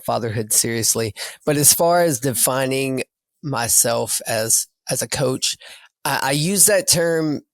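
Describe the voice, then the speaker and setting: A man with a raspy voice, a coach speaking as a guest over a video call in an earnest, reflective way. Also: medium pitch, no echo. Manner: earnest, reflective, repeats words as he thinks. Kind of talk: casual podcast interview.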